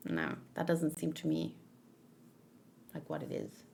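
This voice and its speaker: A woman's voice: low voice, sing-song, a little slow, creaky, a little mellow. A woman giving casual, informal commentary as a read-along host, thinking aloud and searching for her words as she goes.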